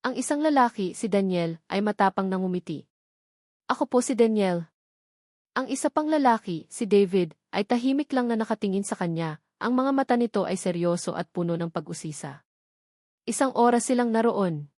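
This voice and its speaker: A woman's voice: medium pitch, expressive, brisk, darkish tone, dry recording. Woman narrating a dramatic story, dry studio sound. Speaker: narrator of audio love stories.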